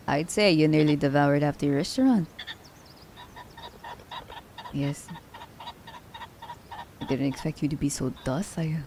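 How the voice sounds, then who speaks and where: A woman playing a teasing, worldly female assassin in an ASMR roleplay, with a gravelly, mellow voice and a playful, unhurried delivery. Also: low-pitched, heavily acted. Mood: teasing, amused. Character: teasing, worldly female assassin fond of her werewolf captive.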